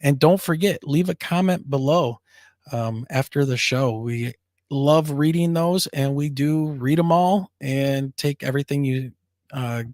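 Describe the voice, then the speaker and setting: A man's voice: mid-range voice, a little slow, a little mellow, no echo, studio quality. A man co-hosting a casual live-streamed talk show, chatty and upbeat, his voice scratchy and worn. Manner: upbeat, chatty, laughs easily. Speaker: co-host of a paranormal talk show.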